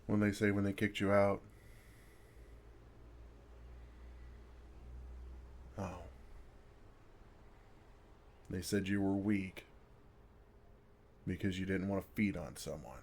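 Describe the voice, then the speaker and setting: A low-voiced, gravelly older vampire played by a man in an intimate ASMR roleplay. He is gentle and wry, talking with unhurried pauses and a dry, self-deprecating humor. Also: steady tone. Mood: gentle, reassuring. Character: kind, wry older male vampire comforting a fledgling.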